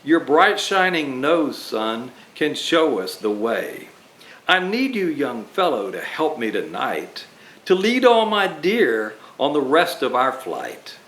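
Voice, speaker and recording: Man acting kindly old gift-bringer, storybook verse, stately and earnest, animated pitch, roomy echo. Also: takes it slowly. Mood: earnest, pleading. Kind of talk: storybook read-aloud in rhyme. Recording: roomy echo, faint background noise.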